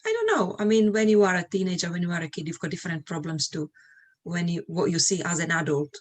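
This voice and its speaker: A woman's voice: lowish voice, animated, quick pace. A middle-aged woman from Slovakia speaking English with a Slovak accent, chatting as an interview guest about her own life. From Slovakia, Eastern Europe. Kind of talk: casual conversational interview, personal recollections.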